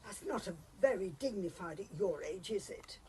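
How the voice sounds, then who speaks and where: A woman's voice: medium pitch, animated, moderate pace, darkish tone, natural voice. A woman playing a fussy, scandalised, prudish British club lady in an amateur radio comedy. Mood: shocked, disapproving. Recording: faint background noise, home recording.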